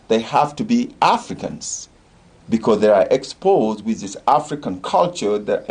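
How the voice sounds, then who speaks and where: A man's voice: on the low side, a little mellow, husky. An older man from Senegal, a community organization leader, talking earnestly in a discussion show and rallying listeners with repeated phrases.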